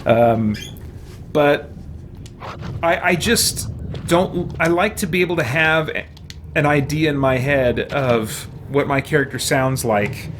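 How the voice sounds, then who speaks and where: A man's voice: on the high side, takes it slowly, long pauses, fairly bright tone, a little hiss or hum. A man giving casual, opinionated let's-play commentary as a gaming streamer, musing in a conversational way. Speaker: gaming streamer playing a role-playing game.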